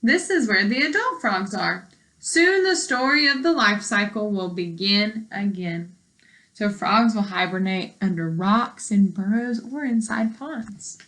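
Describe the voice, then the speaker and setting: A woman teacher reading a book aloud to young children, deliberately, with explanations and questions for her listeners. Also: mid-range voice, expressive, a bit bright, low-quality recording. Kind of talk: read-aloud lesson with commentary and questions.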